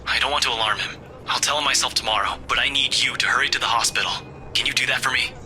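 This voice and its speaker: A man voicing a blunt, grim young man who breaks bad news haltingly, in a visual novel game. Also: medium pitch, fairly even intonation, bright, forceful tone, soft background music, low-quality recording. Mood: urgent, guarded. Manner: hesitant, halting, terse.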